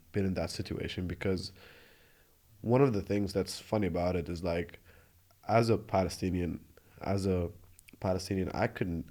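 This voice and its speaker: A man's voice: low-pitched, creaky, dark tone. A man in his twenties from Jordan, telling his story in an earnest, reflective way with many restarts. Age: 20s. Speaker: interview guest. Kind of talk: casual conversational interview. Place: Australia.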